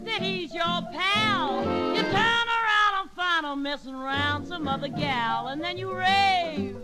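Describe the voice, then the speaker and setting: A woman's voice: extremely high pitch, measured pace, over loud music. A woman performing a vintage swing number, half singing and half talking, sassily addressing other women. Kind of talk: vintage swing song, sung and half-spoken. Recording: vintage swing record, loud band.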